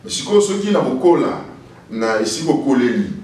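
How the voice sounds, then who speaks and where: A man in a TV studio discussion, animated, repeating key phrases and switching into Lingala. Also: medium pitch, normal speed. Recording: echoey, distant mic with faint noise.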